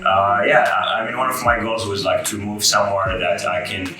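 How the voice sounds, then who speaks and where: A young man from Iraq with Arabic as his first language talks in a low, relaxed voice, full of fillers and restarts. His voice carries a roomy echo, and guitar music plays clearly behind him. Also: moderate pace. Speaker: guest. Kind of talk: casual interview chat.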